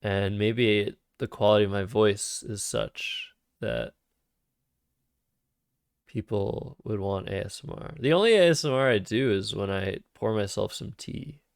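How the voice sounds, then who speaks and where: A man with a calm, soothing voice that drops into gravelly vocal fry, unhurried and deliberate as he talks through his plays. His voice sits fairly low, and the sound is dry and close, picked up by a cheap microphone. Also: no echo. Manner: thinks aloud over moves, soft-spoken and unhurried. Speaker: Scrabble streamer. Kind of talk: live-streamed game commentary.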